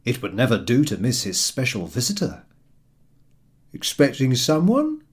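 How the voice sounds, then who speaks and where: A man narrating a scripted storybook tale with expressive, deliberate phrasing. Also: mid-range voice, breathy edge.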